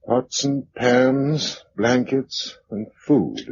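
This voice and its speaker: A man's voice: medium pitch, drawn-out words, gravelly, slightly rough, full character voice. An actor playing a practical, dry young British man in a radio drama, speaking in a clipped, matter-of-fact way. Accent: British English. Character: practical, dry young Englishman surviving a catastrophe. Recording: muffled radio drama recording, faint noise.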